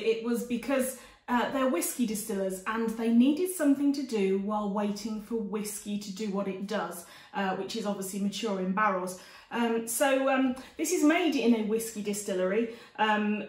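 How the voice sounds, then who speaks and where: A woman's voice: a little high, moderate pace, a little mellow. A woman in her forties presenting an informal cookery demonstration to camera in a chatty way, recorded in a dining room. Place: domestic dining room, indoors. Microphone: camera a few steps away. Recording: roomy, distant camera microphone.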